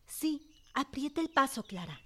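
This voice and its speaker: A woman's voice: high-pitched, big pitch swings, unhurried, a little hiss or hum. An actress playing a determined, idealistic young noblewoman in a radio drama, formal and insistent. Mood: brisk, secretive. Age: young adult.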